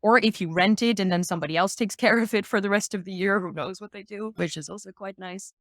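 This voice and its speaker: A woman's voice: mid-range voice, fast, no echo, studio quality. A woman explaining sustainability as an expert guest in a casual, upbeat discussion, thinking aloud as she goes.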